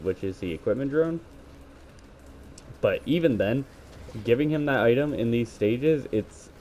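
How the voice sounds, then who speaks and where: A man's voice: fairly low pitch, dark tone, dull audio. A man with a scratchy voice giving rambling play-by-play commentary while gaming, talking into a headset. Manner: rambling, self-correcting play-by-play. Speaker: gaming video creator.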